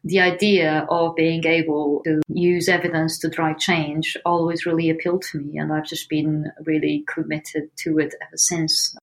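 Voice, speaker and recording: A woman from Portugal with a Portuguese accent, answering interview questions in an earnest, measured way. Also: deep voice, level tone, poor audio. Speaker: founder of a homelessness research organization.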